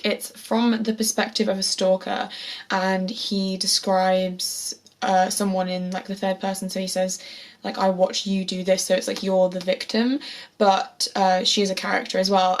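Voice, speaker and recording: A young woman with a mid-pitched voice talks through her recent reads as a book vlogger. Her throat is sore, so her voice sounds a little hoarse. She stays chatty and enthusiastic, doubling up words for emphasis. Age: young adult. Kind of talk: casual book chat to camera.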